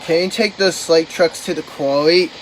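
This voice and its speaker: A man voicing a kind, tired older male engine with a gentle, apologetic manner. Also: a little high, fairly even intonation, normal speed, soft background music, pitched above the performer's own voice, close to an everyday voice, poor audio. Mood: weary.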